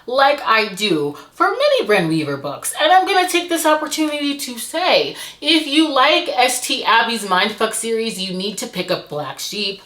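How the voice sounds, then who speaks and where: A woman giving a casual book review to camera, expressive and emphatic in an articulate way. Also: on the high side, moderate pace, hardly any pauses, roomy sound.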